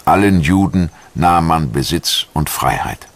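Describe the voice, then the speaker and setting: A man reading scripted historical documentary narration in a measured, solemn and formal manner. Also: extremely low pitch, no room sound. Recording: music behind the voice. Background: background music.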